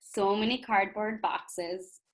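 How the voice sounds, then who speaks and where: A woman's voice: mid-range voice, slow pace, rough recording. A woman reading a picture book aloud to young children like a teacher, expressively, with explanatory asides and questions to her listeners. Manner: animated, instructive, asks rhetorical questions of young listeners.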